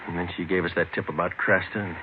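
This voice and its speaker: Brisk, low-voiced American police detective played by an actor. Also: male voice, fairly even intonation, slight background noise, natural voice. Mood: subdued, earnest. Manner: clipped, matter-of-fact, understated. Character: earnest, weary male police detective sergeant narrating his case. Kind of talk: 1950s radio police drama, terse scripted narration. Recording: lo-fi old radio recording with music.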